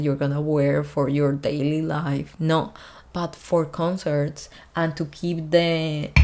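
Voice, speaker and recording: A young woman talks through concert outfits in a relaxed vlog, her voice sitting low. She takes her time, padding thoughts with "like" and "honestly" in an easy conversational flow. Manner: chatty, many fillers like "like" and "honestly". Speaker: fashion designer and YouTube host. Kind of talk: casual reaction commentary.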